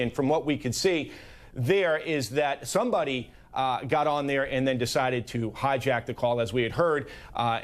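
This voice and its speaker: Male political analyst, mid-range voice, emphatic and explanatory, live news commentary. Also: medium pitch.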